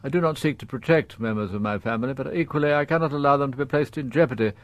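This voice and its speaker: A man's voice: mid-range voice, dry recording, natural voice. Dignified upper-class father, played by a man for radio drama: mid-range voice, guarded confession, careful wording, dry studio sound. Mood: grave, guarded. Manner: formal, reticent, halting, precise.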